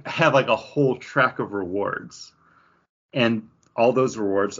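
Bright, raspy-edged man chatting emphatically. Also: lowish voice, dull audio. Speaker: podcast co-host. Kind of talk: casual chat about mobile games.

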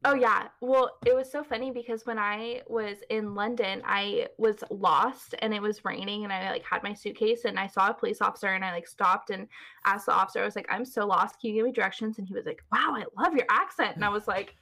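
Californian girl in her 20s, bubbly and chatty, lots of vocal fry. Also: medium pitch, fairly even intonation, fairly quick, pushed and bright. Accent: Californian American. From California, USA. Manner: bubbly, laughs often, chatty with fillers. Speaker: guest. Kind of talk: casual chat.

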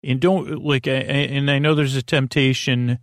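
A man hosting a bedtime podcast in a creaky, lulling voice, rambling and stammering through meandering tangents and false starts. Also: mid-range voice, fairly even intonation, normal speed, dry recording, studio quality. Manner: soothing, lulling. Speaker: podcast host.

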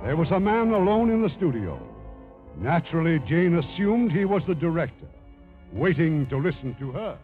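A man's voice: fairly high pitch, big pitch swings, normal speed. A man hosting a 1950s radio theater, reading scripted introductions and narration in a formal showman style. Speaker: host and producer of radio theater. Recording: dull 1950s radio broadcast, faint music.

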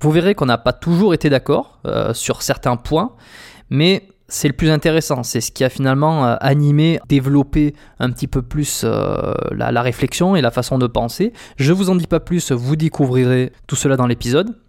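A man's voice: mid-range voice, moderate pace, vocal fry, darkish tone. A man hosting a podcast, introducing his guest and talking directly to listeners in a conversational way with frequent hesitations. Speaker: podcast host. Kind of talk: podcast interview and listener appeal.

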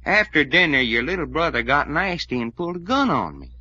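Sharp-voiced, folksy radio adventurer. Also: male voice, fairly high pitch, very animated, brisk, husky, exaggerated voice. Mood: matter-of-fact. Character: folksy, easygoing, plainspoken tough adventurer. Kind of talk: old-time radio drama acting. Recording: dull, dry old radio recording.

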